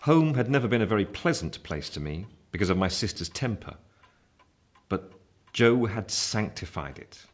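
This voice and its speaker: A man's voice: on the low side, very animated, moderate pace. An actor playing a reflective grown man narrating his youth, with an English accent, in a dramatic literary style. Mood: wistful, reflective.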